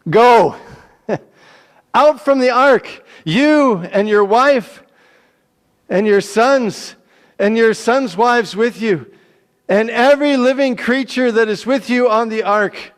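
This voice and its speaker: A man's voice: very high-pitched, slow pace, voice pushed up. A man voicing God in a dramatic Bible reading, giving grand, declamatory commands with solemn authority. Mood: thundering, commanding. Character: God, mighty male deity commanding Noah with solemn authority. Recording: long echoing hall reverb.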